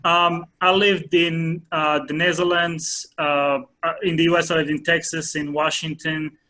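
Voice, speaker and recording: A man in his thirties from France, a soccer coach answering interview questions in a hesitant way with many pauses and fillers. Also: a little high, flat delivery, pushed and bright.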